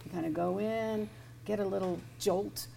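A woman with a low voice interviewing an author in front of an audience, chatty and hesitant with many fillers. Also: fairly even intonation, moderate pace, gravelly, mellow, slight background noise. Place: event space with an audience.

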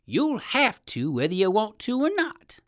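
A woman voicing a savage, blustering male alligator in a children's story, loud, drawn-out and theatrical. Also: mid-range voice, huge pitch swings, voice pushed up. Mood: menacing.

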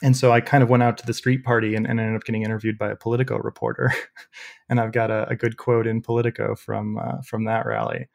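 A young man with a loud voice, a podcast guest telling stories casually with many fillers. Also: on the low side, little pitch movement, quick pace, gravelly. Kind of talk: casual storytelling interview.

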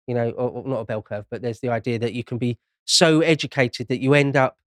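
Man, mid-pitched, dry close sound. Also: fairly even intonation, a little fast, no echo. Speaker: podcast panellist. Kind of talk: casual panel chat.

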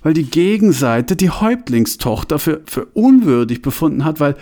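A man's voice: a little high, sing-song, moderate pace, warm tone, voice pushed up. A man voicing a chummy, boastful male companion who talks in a jovial way.

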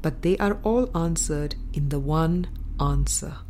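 A woman reading the words of God as a calm, loving, wise teacher in a parable, solemn and tender. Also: low-pitched, a little slow, slight background noise.

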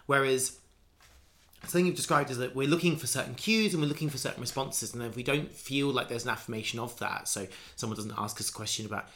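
Man, bright forceful voice, rambling, thoughtful, casual chat. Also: mid-range voice, quick pace. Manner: rambling, musing, many restarts and fillers. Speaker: conversation partner. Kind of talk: casual conversation.

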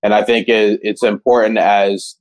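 A man moderates a video call panel, leading the guests into questions. His voice sits low and his manner is casual, with frequent tag questions. The sound is dry. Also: flat delivery, normal speed, dry recording. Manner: conversational, often adds "right?".

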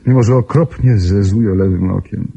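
An actor playing a self-assured, gently teasing suitor and amateur sleuth who explains wryly in a radio play. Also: male voice, lowish voice, normal speed, gravelly, breathy edge, low-quality recording. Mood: gentle, reassuring. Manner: explanatory, wry. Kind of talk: Polish radio drama acting.

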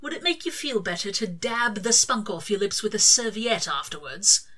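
Cheeky male demon for a story reading, acted by a woman: casual, slangy, sardonic, bright and forward in tone. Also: mid-range voice, lively intonation, normal speed, voice pushed up. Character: cheeky, teasing, laid-back male demon.

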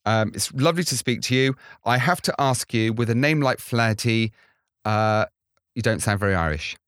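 A man's voice: medium pitch, expressive, normal speed, a bit bright, clear, resonant tone, no echo, clean studio sound. A man hosting a podcast, introducing and interviewing a guest in a conversational, articulate way. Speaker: podcast host.

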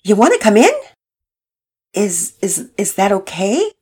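A woman's voice: mid-range voice, very animated, unhurried, warm tone, breathy edge, voice pushed up. A woman voicing an earnest, eager teenage boy who stammers when moved. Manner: enthusiastic, stammering.

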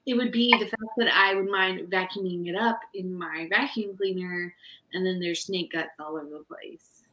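A woman's voice: fairly low pitch, fairly even intonation, moderate pace, pushed and bright, a little hiss or hum, rough recording. A woman joining a casual podcast chat, speaking in a blunt, scolding way.

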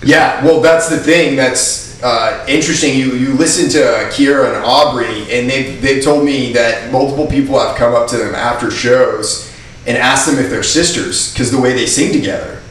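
Man, mid-pitched voice, podcast host, casual and profane chat, heavy room echo, distant from mic. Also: normal speed. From Maine, USA. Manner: profane, informal. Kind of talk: casual chat about local music. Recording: very echoey room, off-mic, faint noise.

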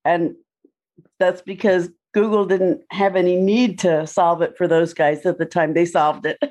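A woman's voice: on the low side, level tone, measured pace, a little mellow. A woman explaining ideas thoughtfully in a casual panel discussion.

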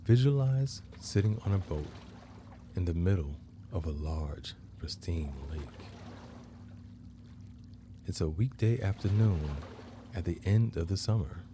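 A man hosting a guided meditation, narrating in measured, deliberate phrases with long pauses. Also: sits low, expressive, background noise. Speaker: guided meditation podcast host.

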